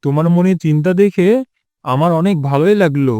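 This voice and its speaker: A man's voice: on the high side, fairly quick, dark tone, clean tone, dry recording, studio quality, close to an everyday voice. A man voicing a kindly, friendly shopkeeper in a scripted cartoon. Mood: impressed, kindly. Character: kindly shopkeeper, a friendly adult uncle figure.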